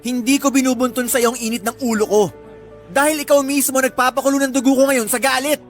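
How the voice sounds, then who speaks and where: A man's voice: extremely high pitch, steady tone, fast, faint music behind. A man playing a jealous, hot-tempered young Filipino boyfriend, sarcastic and accusing, in a radio drama. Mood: threatening. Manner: menacing, sarcastic.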